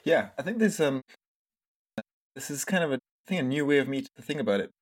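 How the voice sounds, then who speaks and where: A man in his thirties who grew up in France, talking as an interview guest in a relaxed, reflective way, full of "you know" and "like". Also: mid-range voice, brisk. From Scotland, grew up in France. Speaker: podcast guest, entrepreneur. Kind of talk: casual interview.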